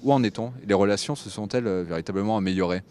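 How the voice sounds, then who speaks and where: A man hosting a TV interview, with halting phrasing as he puts his questions. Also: fairly low pitch, a little mellow, a little hiss or hum. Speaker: TV host interviewer. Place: TV set at a convention.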